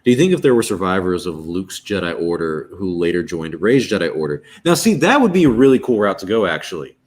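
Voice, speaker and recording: Young man, very deep voice, rambling livestream host, restarts often, reads chat out loud. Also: big pitch swings, fairly quick. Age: 20s. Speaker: streamer host.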